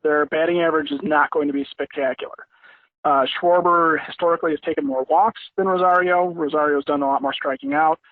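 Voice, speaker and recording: A man's voice: a little high, steady tone, fairly quick, dry recording. A man as a guest giving casual, rambling sports analysis over a remote call. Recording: thin, dry phone-like line.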